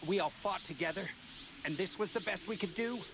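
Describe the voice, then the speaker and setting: A man's voice: high voice, fairly quick, vocal fry. A man playing a desperate, earnest fighter hero in a dramatic anime game style. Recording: loud music behind the voice.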